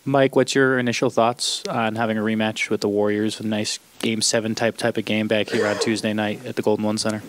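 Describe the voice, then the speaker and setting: A man's voice: on the low side, level tone, brisk, few pauses, slight background noise. A male reporter asking a chatty question at a press conference.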